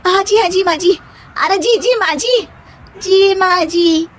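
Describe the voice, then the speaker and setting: Cartoon family story: young daughter-in-law, very high voice, whiny and eager to please played by a woman narrator. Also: takes it slowly. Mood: meekly obedient. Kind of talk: animated moral story character voice.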